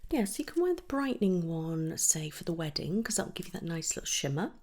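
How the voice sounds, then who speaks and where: A woman speaks in a soft, breathy, half-whispered ASMR style, gently reassuring like an attentive make-up artist in a one-to-one roleplay, close to the microphone. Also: sing-song, fast, slight background noise. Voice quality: breathy whisper mixed with soft low speech.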